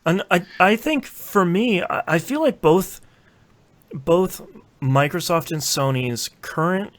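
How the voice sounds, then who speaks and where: Man hosting a casual podcast, halting delivery. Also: high voice, lively intonation. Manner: informal, halting delivery with repeated words. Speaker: podcast host. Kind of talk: casual chat.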